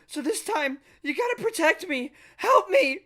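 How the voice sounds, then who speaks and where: A man's voice: very high voice, animated, measured pace, pushed and bright, pitched above the performer's own voice, exaggerated voice. A man voicing a terrified young manga boy who gasps, stammers and pleads through tears. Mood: desperate pleading. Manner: panicked, gasping, stammering cries.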